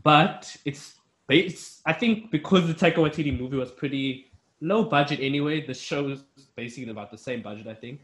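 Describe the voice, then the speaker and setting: A man's voice: fairly high pitch, a little fast, a bit bright. A young man chatting casually as a podcast co-host, rambling with restarts. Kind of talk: casual chat. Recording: echoey room.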